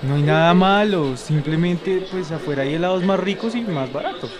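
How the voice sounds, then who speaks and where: A man's voice: a little high, normal speed, crowd noise, close to an everyday voice. A man playing a stubborn, lovestruck, broke young man in a radio soap, talking colloquially with a Bogotá accent. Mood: coaxing. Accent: Colombian, Bogotá. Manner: colloquial, insistent. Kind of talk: amateur radio soap opera acting. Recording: clearly noisy, urban ambience.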